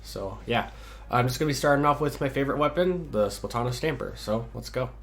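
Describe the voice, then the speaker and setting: A man's voice: mid-range voice, lively intonation, a little fast, noisy background. A man hosting a gaming channel, chatting casually over gameplay while stuffy from a cold, laid-back and hesitant with lots of ums and restarts.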